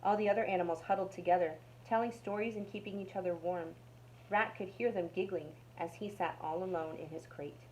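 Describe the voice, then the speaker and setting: A woman's voice: fairly low pitch, fairly even intonation, a little fast, darkish tone, slight background noise, low-quality recording. A woman narrating a children's storybook aloud as a storytime reader.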